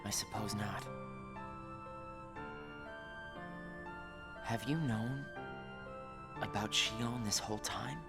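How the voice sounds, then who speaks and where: A man playing a confused, troubled and quietly resentful teenage boy in a video game cutscene. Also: lowish voice, unhurried, creaky, loud music behind the voice. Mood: suspicious, hurt.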